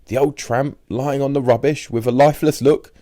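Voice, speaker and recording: An earnest, excitable anarchist squatter, acted by a man reading a diary audiobook. He stammers and blurts his words with heavy emphasis. The voice sits well above the reader's own pitch. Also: moderate pace, no echo, clean studio sound, close to an everyday voice. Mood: awestruck.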